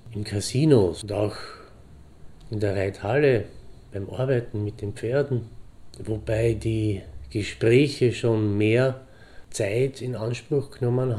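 An actor playing a pensive middle-aged divorced horseman, reminiscing in deliberate, halting phrases. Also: on the low side, dark tone. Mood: reflective. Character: pensive divorced hobby horseman fondly remembering a friend. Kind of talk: reflective anecdotal monologue in an audio drama.

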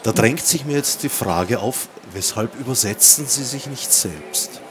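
A man's voice: mid-range voice, expressive, a little slow. Formal male interviewer amid background noise. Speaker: interviewer, radio editor. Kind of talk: measured literary interview questioning. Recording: noisy, with clear ambient background noise.

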